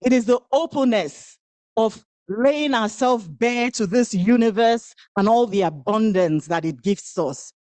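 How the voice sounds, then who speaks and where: A woman's voice: a little high, darkish tone, dry recording. A woman whose first language is Igbo, giving a rhythmic, incantatory spoken-word poetry performance at a women's event. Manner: rhythmic, incantatory delivery with long pauses.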